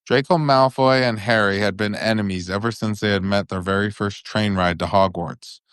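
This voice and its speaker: A man's voice: on the low side, normal speed, fairly bright tone, clean tone, no echo, clean studio sound. A man narrating a storybook for bedtime in an informal, occasionally stumbling way.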